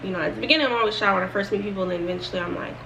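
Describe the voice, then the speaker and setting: Young woman, early 20s, casual storytime vlogger, chatty and rambling, gravelly fry, slightly distant mic. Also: medium pitch, racing, over music. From Florida, United States. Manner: chatty, rambling, with many fillers and restarts.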